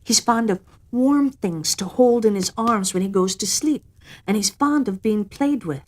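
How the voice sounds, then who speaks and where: A woman's voice: mid-range voice, unhurried, close to an everyday voice. A woman voicing a little gossipy bat messenger in a storybook, informative and courteous. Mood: confiding.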